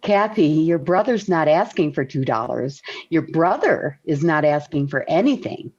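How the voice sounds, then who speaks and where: Calm 1940s sitcom mom. Lowish, gently firm, muffled old radio sound. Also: female voice, moderate pace, husky, heavily acted. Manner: soothing, mildly scolding. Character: calm, placating suburban mother, gently firm. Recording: dull vintage 1940s radio recording.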